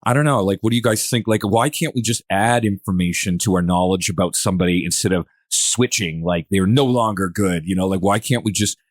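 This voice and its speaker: A Canadian man with a very deep voice hosts a casual podcast in a dry, echo-free sound. He talks in a musing, conversational way and often poses questions to his guests. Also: fairly quick, few pauses. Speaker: podcast host.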